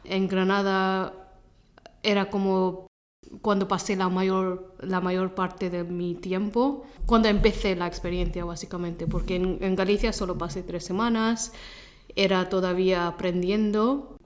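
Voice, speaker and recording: Female interview guest, Gujarati mother tongue; conversational, feels her way through sentences and corrects herself. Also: medium pitch. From Leicester, England. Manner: halting, self-correcting second-language speech. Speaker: interview guest, Spanish teacher.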